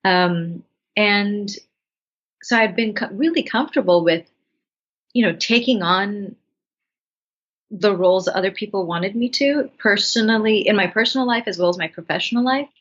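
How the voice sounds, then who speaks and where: A woman in her thirties from Bangladesh, a writer and actress guest talking thoughtfully and earnestly in an interview. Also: mid-range voice. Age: 30s. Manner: thoughtful, earnest, with frequent restarts. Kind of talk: reflective interview talk. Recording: dull lo-fi sound.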